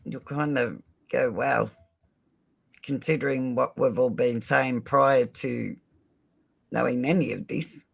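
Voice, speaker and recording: A man's voice: mid-range voice, fairly even intonation, slow pace, vocal fry, slightly rough. A man hosting a casual livestream, speaking to viewers directly in a friendly way. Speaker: livestream host. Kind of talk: casual live chat.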